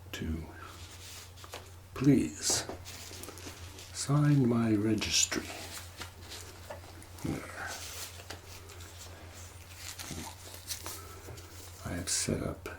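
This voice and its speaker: A low, hushed master of a mystery manor, acted out by a man, speaks in formal, courteous ASMR roleplay. He draws his phrases out extremely slowly with deliberate pauses, slipping into whispers. There is noticeable noise behind the voice. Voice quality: soft, hushed, partly whispered. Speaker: host.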